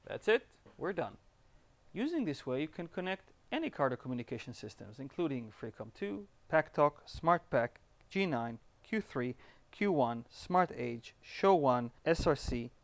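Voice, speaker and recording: A man giving scripted step-by-step instructions as an upbeat tutorial presenter. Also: on the high side.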